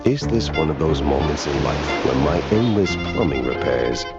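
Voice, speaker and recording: An actor playing a world-weary, wisecracking mouse private detective in a noir game, gruff and gravelly, muttering sardonic asides in street slang. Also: male voice, rumbling low, big pitch swings, normal speed. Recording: muffled band-limited game audio under loud music.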